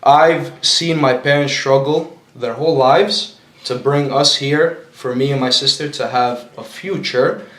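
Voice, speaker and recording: Earnest young man from Albania. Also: medium pitch. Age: young adult. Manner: earnest, heartfelt, motivational. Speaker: podcast guest. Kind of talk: casual podcast chat. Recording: echoey room.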